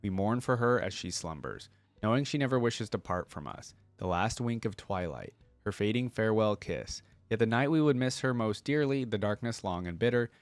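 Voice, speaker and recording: A man's voice: fairly low pitch, expressive, normal speed, no echo. A man reading aloud a fantasy hymn as a devout, reverent sun worshipper.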